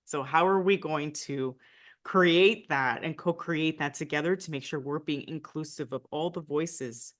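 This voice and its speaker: A woman's voice: sits low, unhurried. A Nicaraguan American woman, a former diplomat, telling her story in an interview with frequent "right?" tags. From United States, family from Nicaragua. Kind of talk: conversational interview.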